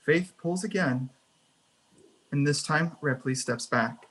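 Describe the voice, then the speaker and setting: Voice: mid-range voice, slow, fairly bright tone, husky, rough recording. A narrator reading scene headings and action lines at a screenplay table read. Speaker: narrator reading stage directions.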